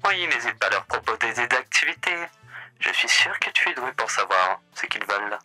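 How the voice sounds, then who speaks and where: A man's voice: medium pitch, sing-song, moderate pace, pushed and bright, faint music behind, heavily acted. A man voicing a suave, theatrical male demon who runs a hotel, courtly and mocking in a voice roleplay. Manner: grandiloquent, courtly and sardonic.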